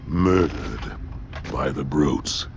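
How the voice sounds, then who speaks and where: An actor playing a noble alien warrior commander in a video game, solemn and oratorical, under loud music in a muffled recording. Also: male voice, mid-range voice, sing-song, takes it slowly. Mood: grave.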